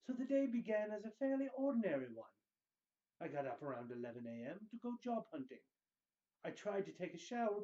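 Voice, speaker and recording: Guy doing dramatic book narration, highish but mellow voice, big pauses, kinda dull lo-fi sound. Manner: theatrical, deliberate emphasis with dramatic pauses. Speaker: reader of book excerpts. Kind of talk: dramatic scripted book reading.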